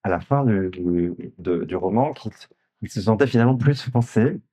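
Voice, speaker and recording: A man's voice: fairly low pitch, lively intonation, moderate pace, dark tone. A man, an author at a literary festival talk, explaining a novel and asking questions with frequent hesitations and restarts. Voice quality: hesitant, many fillers and restarts. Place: festival conference room.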